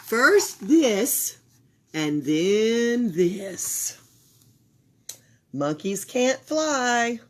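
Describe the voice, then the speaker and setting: A woman reading a children's picture book aloud for a library storytime, expressive and sometimes dropping into a whisper. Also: on the high side, extremely slow, long pauses. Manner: expressive, drops into whispers for suspense.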